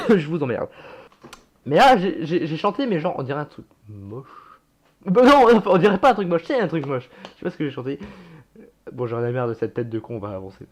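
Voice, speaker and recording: A man's voice: a little high, very animated, moderate pace. A young man casually reacting to his own old videos, chatty and self-mocking, swearing and laughing at himself.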